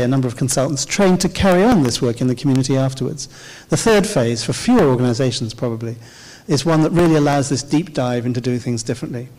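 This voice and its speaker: A man's voice: mid-range voice, a little mellow. Man giving a keynote in a reverberant hall. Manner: measured, explanatory, occasional "uh" pauses. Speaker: keynote speaker. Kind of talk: conference keynote talk. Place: large dark auditorium stage. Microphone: handheld microphone.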